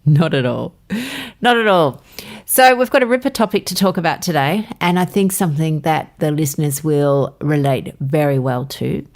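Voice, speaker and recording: A low-pitched woman with an Australian accent, bantering on a podcast. Her manner is upbeat and chatty as she trades stories with her co-host. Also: moderate pace. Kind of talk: casual podcast chat.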